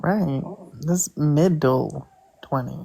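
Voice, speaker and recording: A speaker chatting casually on a livestream, joking with dry, deadpan quips. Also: mid-range voice, extremely slow, gravelly, low-quality recording. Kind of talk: casual chat.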